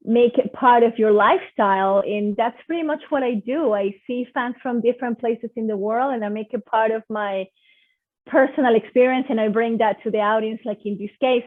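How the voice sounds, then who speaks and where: A woman with a Venezuelan Spanish accent talks with eager, expressive enthusiasm about her passion. Her voice sits slightly high. The audio is tinny and narrow, like a phone call. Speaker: guest performer. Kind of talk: casual online presentation.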